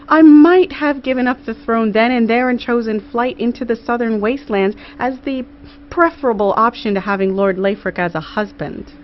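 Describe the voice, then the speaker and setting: A woman's voice: a little high, close to an everyday voice. Muffled, noisy recording of a woman as an earnest queen. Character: earnest, gracious young queen addressing her council with dry humor. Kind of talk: formal oratory in an audiobook. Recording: muffled audio with clear background noise.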